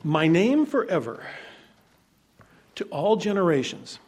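A man preaching a sermon, reading scripture aloud and explaining it conversationally, shifting between loud emphasis and quiet asides. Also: high-pitched, sing-song, takes it slowly. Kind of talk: sermon, Bible teaching.

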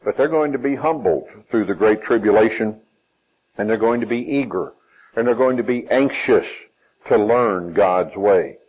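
A man with a Southern US accent preaching a sermon, emphatic, with his pitch climbing and falling and some phrases drawn out. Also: animated, moderate pace, husky, muffled recording.

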